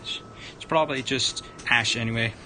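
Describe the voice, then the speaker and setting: A man narrating a visual novel aloud on a livestream, dramatic but halting, stumbling over the written words. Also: sharp tone. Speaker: streamer, narrator. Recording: noisy room with low rumble.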